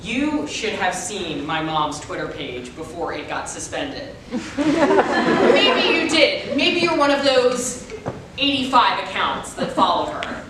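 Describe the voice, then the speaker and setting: A woman's voice: big pitch swings, slow. A woman delivering scripted reader's theater narration in a theatrical, declamatory manner. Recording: echoey hall, distant mic, faint rumble.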